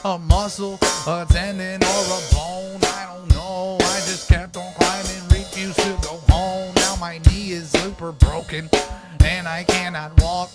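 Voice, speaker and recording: A loose, playful freestyle rapper who half-sings rhyming lines in an easy middle register. Loud live drums and band music pound the whole way behind the voice, as in a live jam stream. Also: mid-range voice, little pitch movement, a little slow. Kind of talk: freestyle rapping and half-singing over live looped music.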